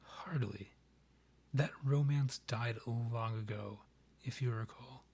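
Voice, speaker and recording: A man playing a proud, guarded vampire king in a romantic ASMR roleplay, with a breathy voice often near a whisper and a formal, courtly, halting manner. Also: medium pitch, a little mellow, heavily acted. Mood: stiff, defensive.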